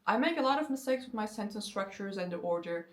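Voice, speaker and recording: A woman in her twenties, a native Turkish speaker, explaining language learning in a casual, lively way and slowing down for example sentences. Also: medium pitch. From Turkey. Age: 20s. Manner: lively, conversational, slows down to spell out example sentences. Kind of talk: casual explanatory talk to camera.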